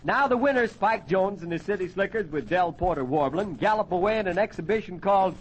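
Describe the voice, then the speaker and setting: A male radio host from the 1940s with a fairly high voice and folksy, jokey showman patter. He announces acts and pitches sponsors over a noisy old broadcast recording. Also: lively intonation, brisk.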